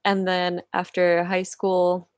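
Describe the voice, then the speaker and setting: A woman in her twenties from Finland chats on a video call, her voice fairly low and the sound dry and close. She speaks softly, giggles often, and fills her sentences with "like". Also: monotone, slow pace, smooth, no room sound. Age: 20s. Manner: soft-spoken, giggly, many "like" fillers. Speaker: podcast guest. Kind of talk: casual interview chat.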